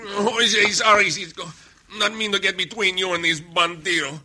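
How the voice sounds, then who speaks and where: An actor playing a bumbling, loyal older Mexican sidekick in a radio western, speaking broken English with a Mexican accent. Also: male voice, sits high, steady tone, normal speed, bright, forceful tone, full character voice. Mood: apologetic. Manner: broken English, refers to himself by name. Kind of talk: old-time radio western acting.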